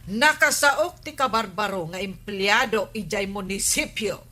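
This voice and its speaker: An actress playing a village captain's suspicious, sharp-tongued wife in an Ilocano radio comedy, nagging and probing emphatically. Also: female voice, medium pitch, measured pace, faint music behind. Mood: angry, accusing.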